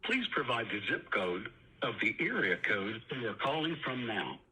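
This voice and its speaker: A man reading a scripted, formal automated phone menu announcement with measured enunciation. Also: mid-range voice, very animated. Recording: phone menu recording with faint noise.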